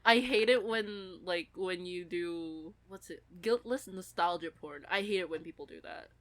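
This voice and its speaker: A woman's voice: lowish voice, normal speed, no echo. A woman co-hosting a podcast in casual joking banter, wry, giggly and slangy. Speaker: podcast co-host.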